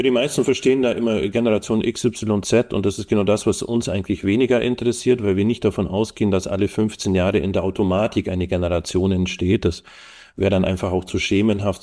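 A man's voice: fairly low pitch, dark tone. A middle-aged man from the Allgäu, a podcast guest explaining his research thoughtfully with frequent fillers. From Allgäu, Germany. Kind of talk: podcast interview.

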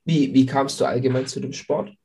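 A man's voice: medium pitch, flat delivery, fairly quick, warm tone. A man hosting a sports podcast who has a cold, asking questions with some hesitant fillers. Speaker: podcast host. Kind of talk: podcast interview.

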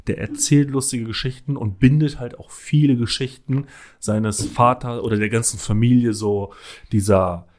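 A man chatting casually as co-host. His voice sits at an ordinary mid pitch. He talks in a joking, self-mocking way. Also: steady tone, normal speed, darkish tone. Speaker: podcast co-host. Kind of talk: casual chat.

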